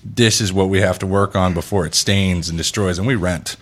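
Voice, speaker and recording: Very deep-voiced man telling animated stories. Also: fairly quick. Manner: animated storyteller, acts out quotes, swears freely. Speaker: podcast host. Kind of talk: casual chat.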